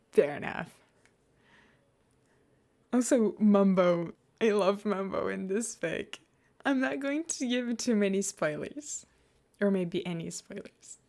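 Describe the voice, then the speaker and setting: A young woman chatting casually to listeners as a host, hesitant, often trailing off and correcting herself. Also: medium pitch. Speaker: podfic reader and host. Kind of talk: casual rambling chat to listeners.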